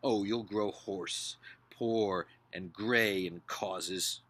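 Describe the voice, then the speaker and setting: A man's voice: lowish voice, steady tone, very slow, a bit bright, a little hiss or hum. Man acting a thundering, scornful older patriarch. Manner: declamatory, contemptuous, emphatic. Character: scornful older upper-class father-in-law, loudly mocking idealism. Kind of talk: dramatic book reading.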